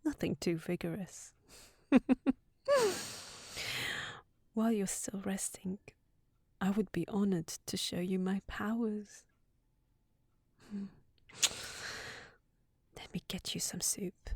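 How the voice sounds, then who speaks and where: A woman's voice: mid-range voice, fairly even intonation, slow, long pauses, darkish tone, breathy edge, no echo, studio quality. A woman playing a sensible young housekeeper secretly in love with a wizard, in an intimate, earnest audio roleplay. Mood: tender, happy.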